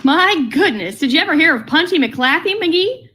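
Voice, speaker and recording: A woman's voice: very high voice, lively intonation, normal speed, sharp tone, exaggerated voice. A woman playing a patient, polite, long-suffering wife in an old-time radio comedy. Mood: surprised.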